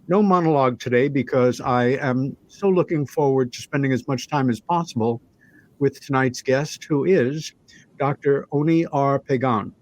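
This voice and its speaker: A man with a mid-range voice hosts a talk show. He phrases things deliberately and keeps a conversational interviewing style. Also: medium pitch, poor audio.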